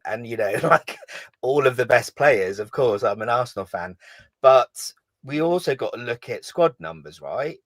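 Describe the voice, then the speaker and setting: Male caller from England: slow, hesitant, lowish voice, dry sound. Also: no echo. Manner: slow, hesitant, thinking aloud with fillers. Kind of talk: casual call-in chat.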